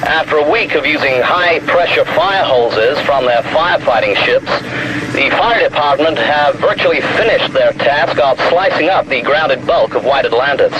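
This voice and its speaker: A man's voice: a little high, animated, normal speed, lightly acted. An actor in a radio drama playing a solemn, dramatic male reporter giving live news commentary. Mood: proud reporting. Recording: dull band-limited broadcast with outdoor noise.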